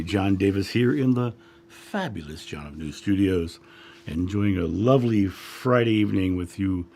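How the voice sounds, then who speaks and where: A man hosting a spiritual livestream, chatting casually and greeting viewers by name, his voice a little raspy after a long week. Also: on the low side, a little mellow. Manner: friendly, chatty, reads names from chat with frequent fillers. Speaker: livestream host, spiritual guide. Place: home studio.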